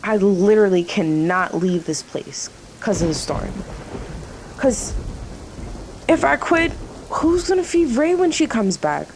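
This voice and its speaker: A woman's voice: mid-range voice, animated, unhurried, darkish tone, slight background noise. A woman playing an overworked, devoted diner worker in a radio play, impassioned and hurt, piling up rhetorical questions. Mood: anxious, resigned.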